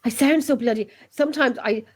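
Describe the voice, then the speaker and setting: A woman's voice: fairly high pitch, a little fast, breathy edge. A woman with an Irish accent, hosting a casual group chat and laughing easily as she talks. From Ireland. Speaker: host.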